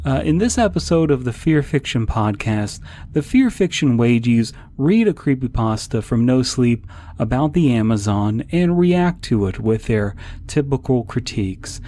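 A man giving a podcast introduction in a halting, mock-corporate manner. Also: medium pitch, expressive, mellow. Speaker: podcast host. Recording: noisy background ambience.

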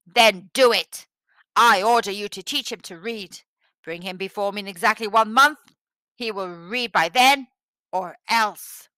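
A woman voicing a greedy, hot-tempered male Mongol tyrant in a storybook, with loud, commanding delivery. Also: mid-range voice, a little slow, few pauses, dry recording. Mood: commanding, threatening. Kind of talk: storybook character voice.

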